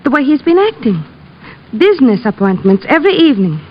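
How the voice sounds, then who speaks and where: High-voiced coy wife, vintage radio. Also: female voice, very animated, a little fast, a little hiss or hum. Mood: cool, businesslike. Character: cool, flirtatious wealthy wife hiring a detective. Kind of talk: old-time radio detective drama acting. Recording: dull vintage radio recording.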